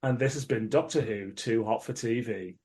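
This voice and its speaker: A man's voice: medium pitch, moderate pace, loud music behind the voice. A man speaking as the host of a casual podcast, chatting and reviewing.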